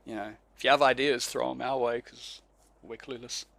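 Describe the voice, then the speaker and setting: A man's voice: medium pitch, steady tone, moderate pace, creaky, a bit bright, a little hiss or hum. A man with an Australian accent, co-hosting a casual podcast in a laid-back way, chuckling and stumbling over names as he reads them out. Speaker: podcast co-host. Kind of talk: casual chat.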